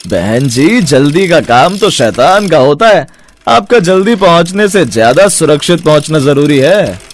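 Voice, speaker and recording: A man playing a kind, wise middle-aged village father who drives an auto, speaking gently and reassuringly in a cartoon story. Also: on the high side, big pitch swings, measured pace. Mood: good-natured.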